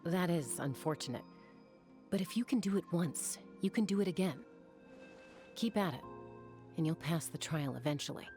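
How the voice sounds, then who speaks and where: A woman playing a composed, caring warrior of a flying tribe in a video game, explaining things in a measured, reassuring way. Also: low voice, animated, warm tone, soft background music. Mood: encouraging. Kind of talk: video game character acting.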